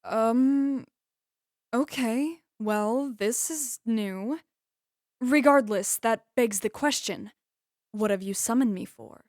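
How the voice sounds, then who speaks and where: A woman's voice: fairly high pitch, takes it slowly, a little mellow, dry recording. A woman voicing an imperious eldritch mother goddess with formal, haughty diction in a comedy skit. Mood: awkward, composed. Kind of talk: scripted comedic game-parody skit.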